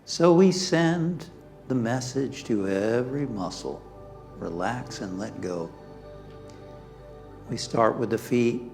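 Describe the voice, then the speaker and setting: A man leading a guided meditation as a minister, speaking calmly and soothingly in short phrases with pauses, softly trailing off toward near-whispers. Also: medium pitch. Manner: calm, soothing, pausing between short phrases. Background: background music.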